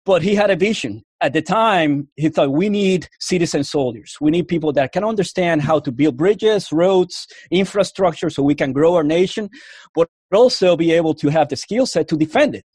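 A man's voice: fairly high pitch, expressive, normal speed, a little mellow, breathy edge, dry recording. Cuban-accented man telling a rambling story. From Puerto Rico. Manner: rambling, full of restarts and fillers. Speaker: interview guest, Army veteran. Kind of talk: casual interview storytelling.

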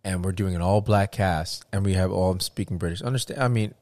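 A man with a very low voice talks through a casual chat and keeps circling back on himself with fillers and restarts. The sound is dry and close, with no room around it. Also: a little fast, dry recording. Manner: rambling, animated, many restarts and fillers.

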